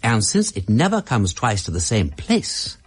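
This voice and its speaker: An aristocratic English amateur detective in a radio drama. His delivery is theatrical and eager, swinging widely in pitch as he reasons things out. The voice has a slightly rough edge and an upper-class polish. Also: huge pitch swings, a little slow, full character voice. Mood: explaining. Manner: theatrical, emphatic, explanatory. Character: brilliant, excitable aristocratic amateur detective, eager and quick-minded.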